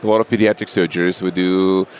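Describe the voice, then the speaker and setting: A middle-aged man with a very low voice and a Russian accent answers questions conversationally as an interview guest. There is noticeable ambient noise behind him, like a busy public place with traffic. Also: flat delivery, quick pace. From Russia, Saint Petersburg.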